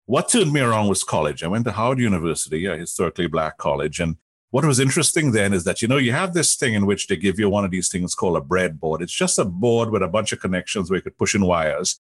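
Trinidadian guy being interviewed, kinda low husky voice, explains stuff with emphasis and rhetorical questions. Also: expressive, fast. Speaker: interview guest, technology executive.

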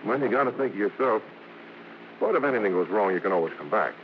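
A man's voice: on the low side, animated, fast, lightly acted. An American man playing a cocky, slangy, teasing vaudeville star in old radio drama style. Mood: guarded, jealous. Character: brash, cocky, wisecracking male vaudeville hoofer and star. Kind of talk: 1940s-50s American radio drama acting. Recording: noisy, muffled old radio broadcast.

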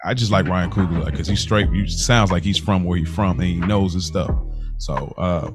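A man's voice: low-pitched, moderate pace, music in the background. An American man co-hosting a podcast in casual, slangy chat, often circling back and rephrasing as he goes. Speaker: podcast co-host.

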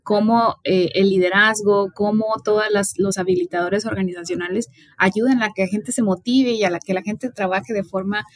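A woman's voice: mid-range voice, steady tone, normal speed, hardly any pauses, no room sound. A woman, hosting an interview, speaking with hesitant restarts. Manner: warm, hesitant, many restarts. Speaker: podcast host.

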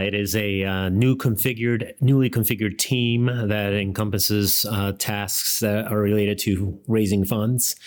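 A man in his fifties from Ecuador, a seminary vice president telling his life story in an interview, measured and full of fillers and restarts. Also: lowish voice. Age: 50s.

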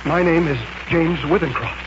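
A man's voice: on the high side, normal speed, lightly acted. An actor as a fearful, fatalistic man, crackly old radio. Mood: uneasy, shaken. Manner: agitated, fatalistic, dramatic delivery. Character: doomed, fearful, bitter male artist. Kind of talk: 1940s radio drama acting. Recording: muffled, noisy 1940s radio recording with hum.

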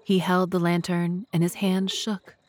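A woman's voice: on the low side, level tone, measured pace, a little mellow. A woman narrating an audio drama from a script, in a storytelling style.

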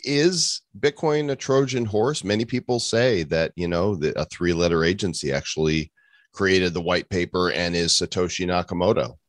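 Deep-voiced joking podcast host. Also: male voice, fairly even intonation, normal speed, no echo, clean studio sound. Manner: rambling questions, joking. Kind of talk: casual podcast conversation.

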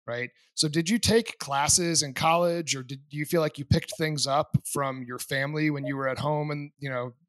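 Male podcast host, light pitch, loose and conversational, dead-dry mic. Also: a bit bright, no echo. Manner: rambling, chatty questions. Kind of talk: casual interview.

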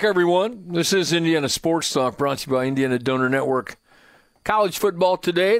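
An older man hosts live sports talk radio in a dry, close studio sound. His voice sits a little high and has a gravelly creak, and he talks in a folksy way. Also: no room sound. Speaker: sports radio host and coach.

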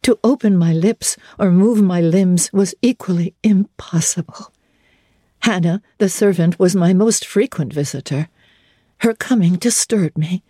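Female audiobook narrator, mellow and husky, deliberate dramatic reading, dry close sound. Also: fairly low pitch, dry recording. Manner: deliberate, expressive, formal diction. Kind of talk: dramatic literary narration, first person.

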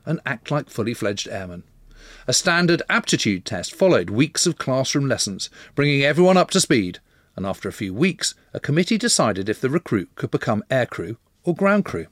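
A man delivers scripted history narration with a lot of movement in his pitch. His voice sits in the middle register, emphatic and measured, recorded close and dry. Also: no room sound.

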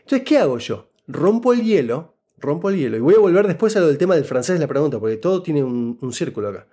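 A man with an Argentine Spanish accent and a fairly high, mellow voice. He speaks as an interview guest, telling a story in a very lively, swooping way. He explains things and keeps checking that the listener is following. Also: fast talker. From Buenos Aires, Argentina. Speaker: interview guest giving job-interview advice. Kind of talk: casual conversational storytelling.

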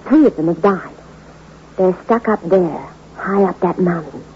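An actress playing a scheming, seductive femme fatale hungry for gold, with a coaxing, slangy delivery in a 1960s radio suspense play. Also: female voice, lowish voice, measured pace, breathy edge. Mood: coaxing, greedy. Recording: muffled, slightly noisy old radio recording.

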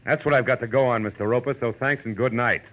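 A man's voice: medium pitch, steady tone, fast talker, close to an everyday voice. An actor playing a wry, unflappable American private eye in a 1940s radio drama, talking in clipped tough-guy slang. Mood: terse, pressing. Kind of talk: 1940s radio detective acting with first-person narration. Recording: dull vintage radio recording with loud music.

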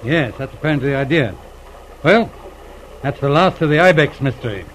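An actor playing a steady, practical mounted police inspector, speaking in clipped, matter-of-fact sentences in an old-time radio drama. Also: male voice, a little high, fairly quick, slight background noise, low-quality recording. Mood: tense, grave.

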